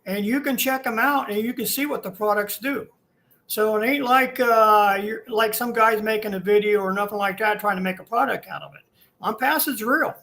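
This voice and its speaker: A man in his sixties from Ohio, a guest telling his own story in a plainspoken, halting, folksy way over a video call. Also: sits high, sharp tone. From Ohio, USA. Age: 60s.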